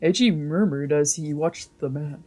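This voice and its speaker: A woman's voice: low-pitched, moderate pace, darkish tone. A woman streamer reading visual novel narration aloud on a let's play. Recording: music behind the voice.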